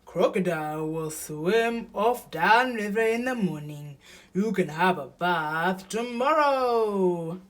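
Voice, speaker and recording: A woman's voice: medium pitch, lively intonation, slow pace. A woman voicing a friendly hippo in a children's story, with an emphatic, reassuring manner. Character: friendly, welcoming hippo, bossy when alarmed.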